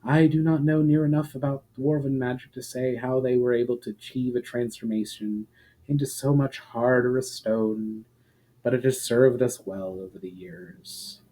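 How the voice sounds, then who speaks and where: A man voicing a proud, calm female elf leader in a fantasy storybook, with stately, measured and formal speech. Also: mid-range voice, close to an everyday voice, low-quality recording. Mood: solemn.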